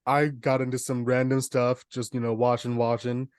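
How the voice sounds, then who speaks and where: A man's voice: medium pitch, flat delivery, moderate pace, darkish tone, smooth, dry recording, clean studio sound. A young man co-hosting a podcast, telling stories in casual, humorous chat. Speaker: podcast co-host.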